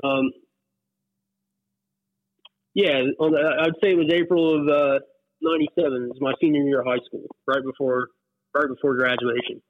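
A man's voice: medium pitch, little pitch movement, moderate pace, pauses often, no room sound. A man in his forties with an American accent, chatting casually and reminiscing as an interview guest. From West Virginia, US. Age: 40s. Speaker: interview guest, whitewater paddler. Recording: muffled phone-like line, dry.

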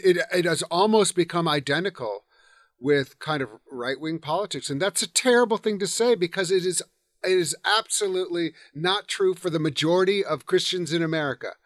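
A man's voice: a little high, no echo, studio quality. A man hosting a podcast interview, earnest and enthusiastic, often stumbling and repeating words as he talks. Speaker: podcast host. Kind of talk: conversational interview.

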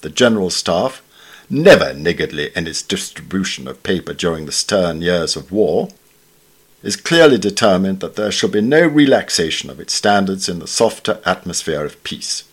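A man reading literary essays from a script in a formal, measured manner. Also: sits low, big pitch swings, husky. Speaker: reader of newspaper essays.

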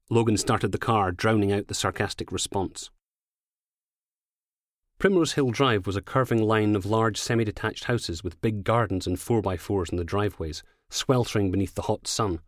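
A Scottish man narrates a crime novel in a low voice. The recording is dry and close, with no room sound around the scripted reading. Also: no echo. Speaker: author reading own audiobook.

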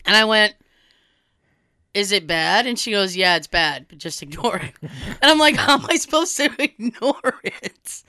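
Hoarse young woman telling a story. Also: mid-range voice, a little slow, dry recording, clean studio sound. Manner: animated, swearing, acts out quoted dialogue. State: hoarse from a cold. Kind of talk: casual storytelling chat.

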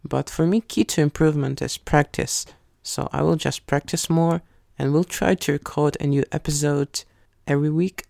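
Gravelly higher-voiced man hosting a podcast. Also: steady tone, moderate pace, darkish tone, no room sound, studio quality. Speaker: podcast host. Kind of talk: casual interview.

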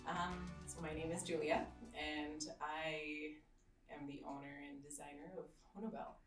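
A woman in her thirties from Slovakia, a swimwear designer, talking in a casual interview with many ums and soft laughs. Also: sits low, level tone, moderate pace, echoey room, recorded from a distance, faint music behind, poor audio. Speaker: swimwear designer and business owner.

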